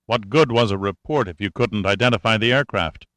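A man's voice: fairly low pitch, a little fast, a bit bright, dry recording, natural voice. A man voicing a cool, wry air force major with a dry, clipped manner, in an audiobook reading.

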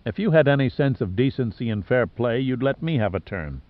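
A man's voice: medium pitch, animated, a little fast, no room sound, narrow, tinny audio, close to an everyday voice. A man voicing an unflappable, wisecracking male sidekick in an audiobook, sardonic and teasing. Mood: calm taunting. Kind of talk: audiobook character dialogue.

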